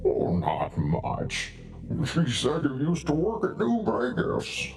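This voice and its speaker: A man's voice: medium pitch, huge pitch swings, slow pace, darkish tone, recorded from a distance, faint music behind, full character voice. An actor playing an easygoing, chummy, teasing man in a scripted video game conversation.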